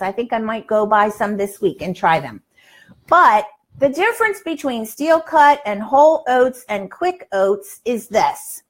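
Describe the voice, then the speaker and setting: A woman in her sixties hosting a casual livestream from her kitchen, chatty and folksy, given to exclaiming. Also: fairly high pitch. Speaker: live cooking show host. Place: home kitchen, indoors. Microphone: lapel mic.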